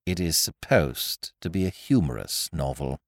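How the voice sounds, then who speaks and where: A man's voice: lowish voice, big pitch swings, normal speed, no echo, clean studio sound, voice pushed up. A man voicing an exasperated male novelist in a comic story, sardonic, indignant and drily wordy. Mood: cold loathing.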